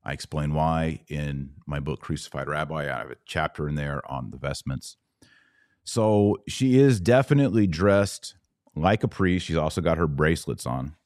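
Deep-voiced man hosting a commentary podcast. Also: normal speed, darkish tone, no room sound, clean studio sound. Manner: didactic, explanatory, informal asides. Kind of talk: casual commentary, explaining to an online audience.

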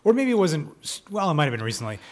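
A man's voice: fairly high pitch, very animated, fast talker, a little hiss or hum. A man hosting a casual interview, informal, joking and irreverent in how he asks things. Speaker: podcast host interviewing a guest.

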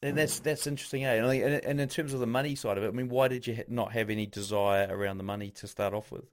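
A man's voice: mid-range voice, steady tone, quick pace, a little hiss or hum. A man conducting a casual interview, asking conversational questions.

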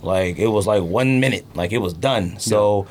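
A man's voice: fairly low pitch, moderate pace. A man hosting a podcast in a casual, slangy, playful chat about sneakers. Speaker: podcast host. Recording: clearly noisy background.

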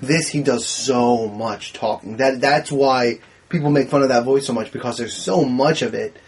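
A man with a slightly husky voice in a casual group movie discussion. He argues his opinions emphatically and swears freely, in a mid-pitched register. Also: moderate pace. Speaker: panelist on a movie discussion show. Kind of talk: casual group chat about films.

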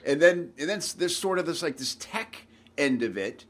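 A man's voice: on the high side, expressive, normal speed, fairly bright tone. A man in his forties hosting a podcast, chatting loosely with lots of fillers and restarts. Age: 40s. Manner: scripted intro then loose, filler-heavy talk. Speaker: podcast host. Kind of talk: casual podcast chat.

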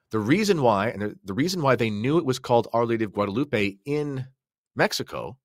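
A man with a deep voice talks religion as a podcast guest. He explains with emphasis and poses questions to drive his points along. The sound is dry, with very little room in it. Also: no room sound. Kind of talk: impassioned religious discussion.

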